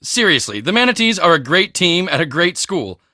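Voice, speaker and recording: Cocky, high-pitched teen football jock. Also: male voice, sing-song, normal speed, bright, forceful tone, no echo, studio quality, full character voice. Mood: cocky, boastful. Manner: swaggering, self-important, emphatic. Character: cocky, boastful teenage high school football star. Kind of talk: video game character dialogue.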